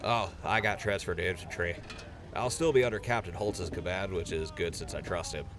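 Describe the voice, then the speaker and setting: A man's voice: low voice, fairly quick, over music. A man playing a nervous, earnest young infantry soldier in an audio drama, shy and hesitant.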